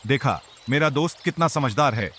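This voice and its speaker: Man playing a chatty, raised-pitch village boy. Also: brisk, a little hiss or hum. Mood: emphatic. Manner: talkative, excitable. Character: chatty, mischievous, eager young village boy. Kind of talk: cartoon story character voice.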